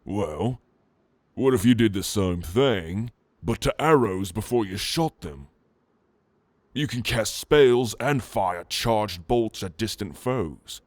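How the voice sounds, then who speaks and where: Man acting a slow-talking, flirtatious orc adventurer. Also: on the low side, lively intonation, no room sound. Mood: encouraging, casual. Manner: teasing, intimate, casual drawl with soft chuckles. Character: flirtatious male orc adventurer, teasing, protective, gentlemanly. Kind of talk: ASMR roleplay addressed to the listener.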